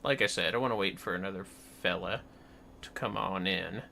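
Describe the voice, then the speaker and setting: A man's voice: sits low, normal speed, gravelly, bright, forceful tone, a little hiss or hum. A man chatting casually on a game livestream, musing aloud and swearing mildly now and then. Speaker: livestreamer playing a video game.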